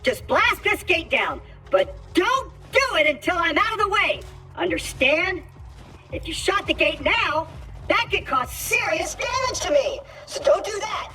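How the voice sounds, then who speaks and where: A man's voice: extremely high pitch, very animated, a little slow, far from the mic, heavily acted. A man voicing a snarky, annoying little video game robot with a processed voice, rambling bossily. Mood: bossy, rambling. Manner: chatty, rambling, bossy. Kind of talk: video game character acting. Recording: loud music behind the voice.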